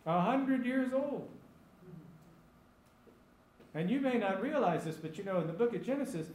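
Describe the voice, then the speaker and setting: A man's voice: very high-pitched, expressive, moderate pace, a little mellow. A man preaching a sermon as pastor, emphatic and repetitive, asking the congregation rhetorical questions. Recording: echoey room with faint ambient noise.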